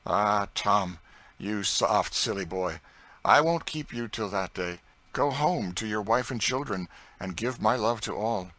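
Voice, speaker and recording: A man voicing a melancholy, wealthy gentleman who talks dryly and teasingly. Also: lowish voice, animated, unhurried, no room sound. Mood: wry. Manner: dry, teasing.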